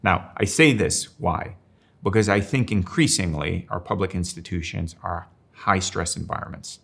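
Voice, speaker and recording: Low gravelly older man, measured. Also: fairly bright tone. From Finland, grew up in Rome. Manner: thoughtful, measured, slows down to stress key words. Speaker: strategic designer and professor. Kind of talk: reflective interview monologue.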